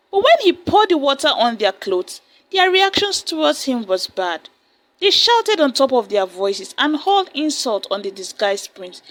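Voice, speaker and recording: A woman narrating a folktale in a steady, plain storytelling manner. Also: fairly high pitch, low-quality recording. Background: soft music.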